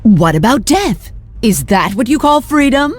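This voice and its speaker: Casting: deranged game villainess, self-proclaimed goddess, high voice, sweeping theatrical swings. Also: female voice, big pitch swings, a little slow, faint music behind. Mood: taunting. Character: mad, gloating sorceress tyrant who thinks herself a goddess.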